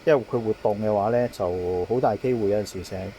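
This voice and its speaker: Hong Kong Cantonese man over clear background noise. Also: fairly low pitch, fast, mellow, poor audio. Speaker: intercom installer, technician. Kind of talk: informal product demonstration and handover explanation.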